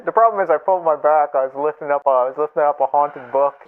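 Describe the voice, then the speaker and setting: A man's voice: a little high, fast talker, lightly acted. A man playing a flustered, bumbling cohost of a spooky podcast in a comedy promo, stumbling over his words. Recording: muffled lo-fi audio.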